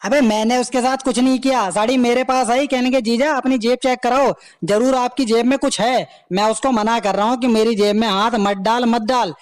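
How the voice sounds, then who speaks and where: A man playing a cheeky, deadpan husband who protests plainly in a comedy skit. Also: very high voice, normal speed. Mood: protesting.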